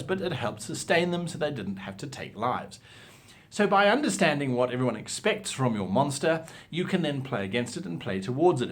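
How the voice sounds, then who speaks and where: A man with a very animated, swooping delivery and a medium-pitched voice. He talks like a host teaching a hobby, laying out examples with deliberate emphasis and a theatrical turn of phrase. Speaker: host of a role-playing advice show. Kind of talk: instructional talk with examples.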